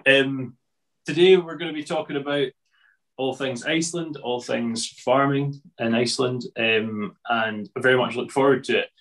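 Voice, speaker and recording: A man hosts a podcast interview in an easygoing, casual manner. His voice sits at a middle pitch. He is self-deprecating and chuckles often between questions. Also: steady tone, moderate pace, a bit bright, low-quality recording. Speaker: podcast host.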